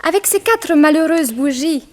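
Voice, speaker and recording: A very high female voice. a naive young woman in a radio play, chatty and artless, full of curiosity. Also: expressive, moderate pace. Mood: playful, curious. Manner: inquisitive, artless. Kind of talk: French radio drama acting.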